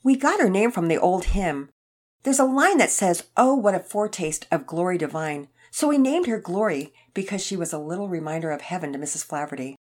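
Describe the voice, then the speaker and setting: A woman voicing a sweet, frail elderly man in a story, with a gentle, folksy, rambling manner. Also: on the low side, animated, a little fast. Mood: tender, resigned. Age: older. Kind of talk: acted character dialogue in children's story narration.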